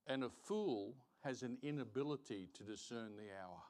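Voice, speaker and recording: Man preaching, emphatic and deliberate. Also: mid-range voice, lively intonation, darkish tone. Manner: emphatic, deliberate, repeats phrases for stress. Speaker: preacher teaching Sunday school. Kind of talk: sermon, Bible teaching with verse reading. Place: church, live-streamed service.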